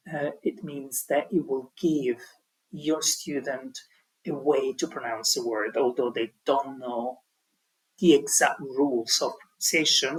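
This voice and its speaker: Man, husky, mid pitch, tutorial, hesitant with fillers. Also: fairly bright tone, low-quality recording. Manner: hesitant, many ums and restarts. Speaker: language teaching tutor. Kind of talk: explaining an online tutorial.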